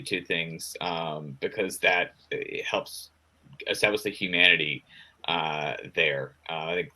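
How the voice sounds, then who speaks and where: Deep, very sharp-toned man, halting speaker. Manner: halting, thinking aloud in broken phrases. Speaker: guest author. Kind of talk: casual discussion of writing craft.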